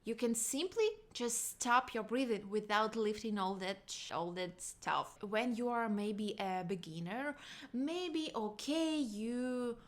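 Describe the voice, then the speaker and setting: A woman's voice: fairly high pitch, takes it slowly. A young woman vocal coach with a non-native English accent, giving a casual video lesson in a chatty, emphatic way and repeating her key words. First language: not English.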